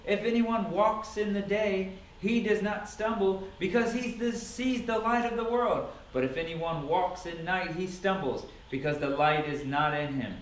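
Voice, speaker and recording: A solemn holy teacher, acted by a man declaims with emphatic authority in a scripture drama. The voice is on the high side, set back from the mic in a noisy recording. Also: a little slow, hardly any pauses. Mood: solemn, earnest. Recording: echoey room, distant mic, noisy.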